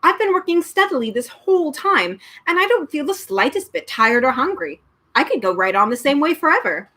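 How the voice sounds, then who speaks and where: A woman's voice: high voice, big pitch swings, brisk, sharp tone, pitched above the performer's own voice, full character voice. A woman voicing a polite, earnest young boy in a storybook reading. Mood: puzzled.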